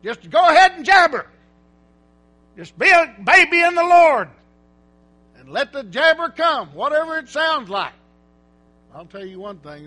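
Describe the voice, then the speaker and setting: A man preaching informally in church, rambling and folksy, breaking into loud exhortations. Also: high voice, a little hiss or hum.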